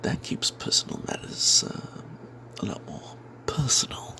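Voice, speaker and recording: Breathy whispering man telling a fantasy tale. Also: measured pace. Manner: hushed and secretive, as if not to be overheard. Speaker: storyteller narrating a tabletop game tale. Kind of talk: whispered ASMR first-person fantasy storytelling.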